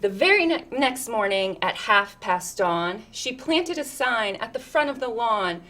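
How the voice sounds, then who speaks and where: Female storyteller for children's read-aloud, slightly high register, very slow delivery, expressive and conversational with the listener. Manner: animated, engaging listeners with questions and asides. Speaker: storyteller reading a picture book to children.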